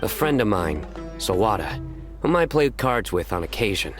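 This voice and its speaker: An actor playing a stern, formal young man in a video game, delivering everything deadpan. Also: fairly low pitch, normal speed. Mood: serious. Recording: game music underneath.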